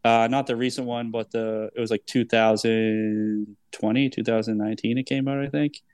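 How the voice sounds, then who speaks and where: A man's voice: mid-range voice, flat delivery, moderate pace, darkish tone, clear, resonant tone, no room sound. A man in his thirties hosting a podcast in a casual, chatty style. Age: 30s. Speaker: podcast host.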